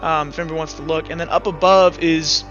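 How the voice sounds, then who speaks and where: Game master, male. High register, sharp bright edge, faint music underneath, casual and halting delivery. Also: fairly even intonation, lo-fi sound. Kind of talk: narrating an online tabletop roleplaying game.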